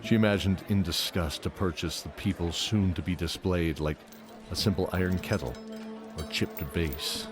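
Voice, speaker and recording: A man's voice: low-pitched, moderate pace. A man narrating a scripted fantasy audio drama. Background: loud music.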